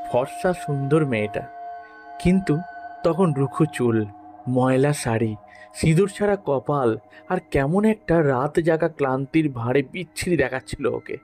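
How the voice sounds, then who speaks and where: A man's voice: mid-range voice, a little mellow, close to an everyday voice. A man playing a troubled, weary husband who confides hesitantly and reflectively in a dramatised audio story. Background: faint music.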